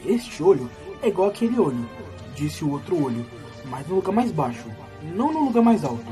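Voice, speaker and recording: A man playing a wary little hobbit in an audio drama, measured when reciting and hesitant in asides. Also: a little high, very animated. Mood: careful. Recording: music behind the voice.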